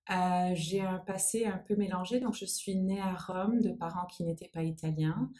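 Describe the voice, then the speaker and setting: A woman's voice: fairly low pitch, steady tone, moderate pace, mellow. A woman in her forties whose first language is English, speaking French with an English accent, answering interview questions thoughtfully and searching for her words. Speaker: guest, nonprofit founder. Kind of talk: interview conversation.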